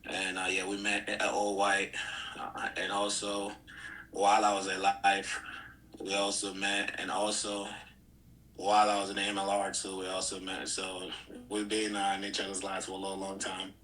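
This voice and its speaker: A young man from Nigeria, a guest on an interview podcast, telling his story in a relaxed way with frequent "uh" fillers. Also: low voice, normal speed, rough recording. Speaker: guest, pro athlete. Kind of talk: casual interview chat.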